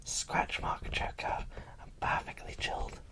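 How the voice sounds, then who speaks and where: An ASMR host speaking in a breathy whisper, giving casual unboxing commentary slowly and softly. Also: recorded from a distance. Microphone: microphone set off to one side.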